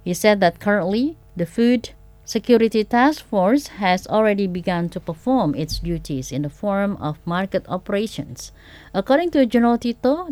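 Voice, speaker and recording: A woman's voice: on the low side, dark tone, dry recording. A woman news presenter with an Indonesian accent, reading scripted radio news.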